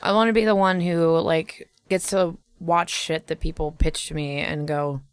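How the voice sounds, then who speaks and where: A woman's voice: low voice, steady tone, moderate pace, smooth, no echo. A woman co-hosting a podcast, chatting casually in a blunt, slangy and sweary manner. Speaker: podcast co-host. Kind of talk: casual chat.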